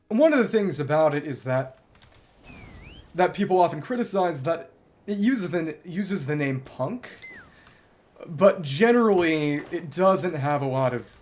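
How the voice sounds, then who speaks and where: A man's voice: a little high, lively intonation, a little slow, long pauses, darkish tone. A man livestreaming a video game, giving casual, bookish commentary that wanders into long tangents. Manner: bookish, discursive, digressive.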